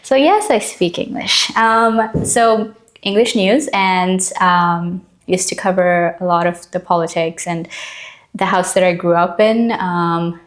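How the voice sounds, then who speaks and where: Young woman from malaysia, kinda low voice, chatty, lots of ums and laughs. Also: steady tone, slow. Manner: chatty, laughs often, many fillers. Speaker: interview guest. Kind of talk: casual interview chat.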